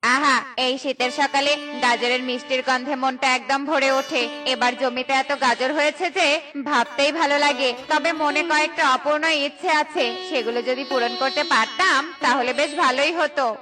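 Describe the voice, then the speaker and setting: A woman voicing a rabbit farmer who haunts the field as a ghost in a cartoon, with drawn-out delivery. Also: sits high, fairly even intonation, music in the background, close to an everyday voice. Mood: wistful. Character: rabbit farmer turned menacing, possessive ghost.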